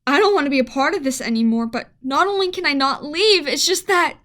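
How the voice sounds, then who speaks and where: High-voiced worried woman scientist, played by an actress. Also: lively intonation, brisk, fairly bright tone. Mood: sad, torn. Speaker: actor in a radio play. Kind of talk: amateur radio play acting.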